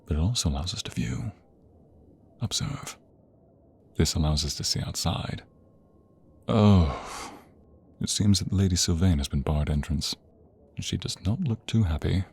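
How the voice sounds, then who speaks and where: A man playing a devoted, regal king in love in a romantic ASMR audio drama, speaking intimately in a breathy voice with courtly phrasing. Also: lively intonation, measured pace, long pauses, darkish tone, heavily acted. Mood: intimate, playful. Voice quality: deep, breathy, often whispering.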